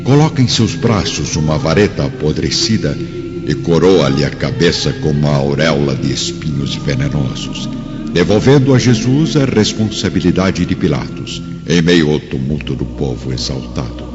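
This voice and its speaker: A Brazilian man narrates a radio drama with solemn, dramatic delivery. His voice is very low, slightly muffled by a dull recording, with music clearly playing underneath. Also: very animated, moderate pace, long reverb tail.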